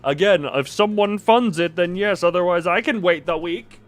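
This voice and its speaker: A man's voice: high voice, moderate pace, soft background music. A man live streaming a game, reading its dialogue aloud and breaking off for his own asides.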